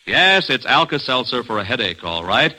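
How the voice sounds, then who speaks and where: Hearty, forceful airline captain. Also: male voice, mid-range voice, very animated, moderate pace, heavily acted. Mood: confident selling. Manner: hearty, emphatic salesman delivery. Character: genial adult male airline pilot captain. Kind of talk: scripted 1950s radio commercial acting.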